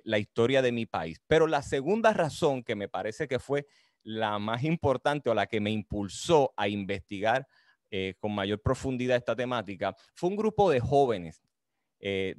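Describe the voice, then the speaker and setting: A middle-aged man from the Dominican Republic with a Caribbean Spanish accent, giving a reflective, deliberate talk as an author and teacher over a video call. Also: medium pitch, no echo, studio quality. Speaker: author and history teacher presenting his book. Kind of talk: book talk, explanatory storytelling. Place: indoor room with bookshelves, on a video call.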